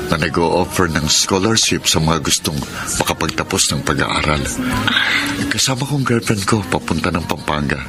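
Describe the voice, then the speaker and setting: An actor with a Filipino accent playing an earnest, kindhearted young tricycle driver in a radio drama. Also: male voice, low-pitched, lively intonation, moderate pace. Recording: music bed behind the voice.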